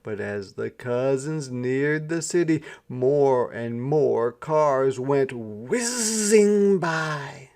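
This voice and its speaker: A man reading a children's story aloud as an expressive storyteller, with deliberate, dramatic phrasing. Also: medium pitch. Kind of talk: expressive scripted storybook narration.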